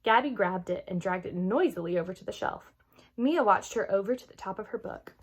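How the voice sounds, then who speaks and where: A woman reading a children's chapter book aloud as an expressive storyteller. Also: medium pitch, a little fast, slightly rough.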